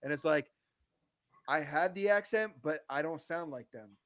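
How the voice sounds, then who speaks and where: A man speaking as a guest on a podcast, telling stories in an animated, emphatic way. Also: a little high, normal speed, no echo. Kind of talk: casual podcast conversation.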